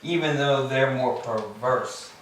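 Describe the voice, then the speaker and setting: A man's voice: medium pitch, takes it slowly, a little hiss or hum, natural voice. Man acting a stern king, hugely echoey. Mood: imperious, dismissive. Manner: imperious, declamatory. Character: stern, scornful wronged Greek king and husband. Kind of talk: staged reading of Greek tragedy. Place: church, staged reading. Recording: very echoey hall, distant mic.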